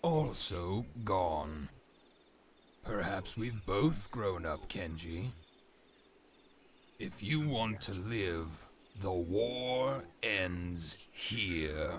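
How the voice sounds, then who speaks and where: A man playing a cold, condescending older warlord in a video game cutscene, measured, taunting and threatening. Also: low voice, expressive, full character voice. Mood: cold menace. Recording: noisy game audio with night insects.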